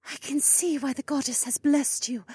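A man voicing a high, chanting oryx seer woman. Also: fairly even intonation, mellow, no room sound. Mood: entranced. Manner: slow, chanting, breathy whispers. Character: tearful mystic oryx seer woman, dreamy.

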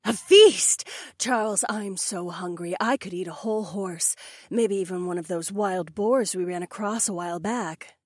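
A man voicing a curious, always-hungry imp woman in an eager falsetto. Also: moderate pace, no echo, studio quality.

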